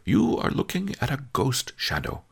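A gentle old master of a storybook speaks slowly and coaxingly, dropping at times to a near whisper, as a man puts him on. His voice is lifted well above the reader's own deep register, with a raspy, gravelly edge. The rise and fall stays lively. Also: exaggerated voice. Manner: calm, coaxing, soft-spoken. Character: wise old male sage, gentle teacher.

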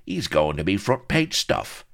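A man voicing an oily, smirking fixer in an audiobook, smooth, slangy and ingratiating. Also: deep voice, sing-song, measured pace, a bit bright, pitched above the performer's own voice, full character voice. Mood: smooth, sly. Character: oily, smirking, wheedling male fixer and blackmailer.

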